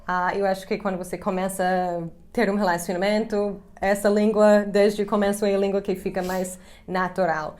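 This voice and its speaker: A woman's voice: medium pitch, flat delivery, normal speed, hardly any pauses, a little mellow. An American woman from Chicago, a YouTube host in her thirties, chatting casually in Portuguese with English slipped in, laughing easily. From United States, Chicago. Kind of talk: casual chat on camera.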